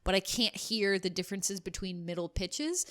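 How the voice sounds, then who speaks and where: A woman guest comedian in casual podcast chat, with a high-pitched voice she does not project, telling stories candidly and rambling with many "like"s. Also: animated, normal speed, fairly bright tone.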